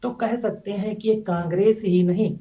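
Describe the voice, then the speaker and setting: A man reports live as a news correspondent, in a high-set but very mellow voice. He talks without a script, rolling through long-winded, repetitive sentences that run into one another. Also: fairly even intonation, normal speed, muffled recording.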